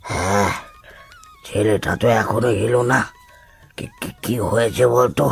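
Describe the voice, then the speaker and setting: Man acting a gravelly, frail old village grandfather. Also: fairly low pitch, steady tone, heavily acted. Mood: puzzled, worried. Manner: halting, stammering, questioning. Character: frail, grumbling, caring old village grandfather, former occultist. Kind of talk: Bengali cartoon story acting.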